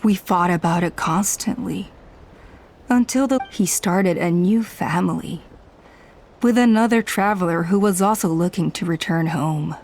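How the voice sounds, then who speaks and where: A woman's voice: fairly low pitch, unhurried, a little mellow, slight background noise. An actress playing a proud clan elder and bereaved mother in a video game, confessing wistfully and dramatically. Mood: wistful, regretful.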